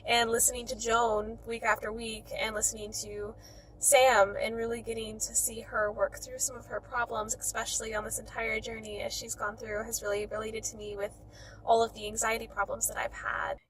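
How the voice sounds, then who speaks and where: A woman's voice: fairly high pitch, little pitch movement, moderate pace, fairly bright tone, a little hiss or hum, rough recording. A woman giving a sincere personal testimonial as a fan.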